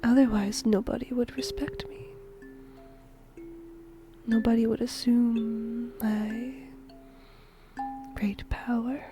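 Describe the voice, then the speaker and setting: Casting: woman playing a whimsical female shinigami for ASMR roleplay, slow breathy whisper, gentle and musing, music behind. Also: on the high side, little pitch movement. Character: whimsical female shinigami death god fond of humans. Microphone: close microphone.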